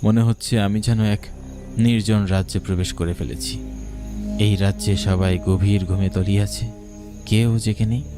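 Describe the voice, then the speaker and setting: A man's voice: on the low side, fairly even intonation, moderate pace, music in the background. A man narrating a scripted first-person horror story in a dramatic, suspenseful manner.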